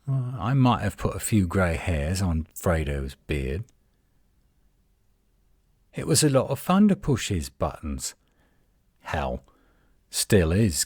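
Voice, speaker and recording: A man playing a cocky, sardonic werewolf guardian in a roleplay audio, teasing and flirtatious, with a husky, drawling delivery. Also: deep voice, a little mellow, no room sound, clean studio sound, full character voice. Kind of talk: roleplay audio acting, one side of a dialogue.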